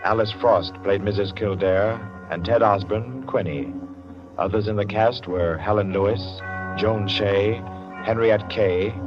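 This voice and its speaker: A man announces the end of a 1940s radio drama in formal, polished tones. His voice is low, and music plays clearly underneath. The old broadcast sounds dull and muffled.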